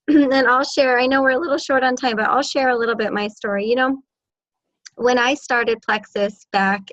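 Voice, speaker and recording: A woman's voice: fairly high pitch, fairly even intonation, moderate pace, a bit bright, no echo. Upbeat woman host, muffled sound. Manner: upbeat, encouraging. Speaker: panel host. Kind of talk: casual presentation.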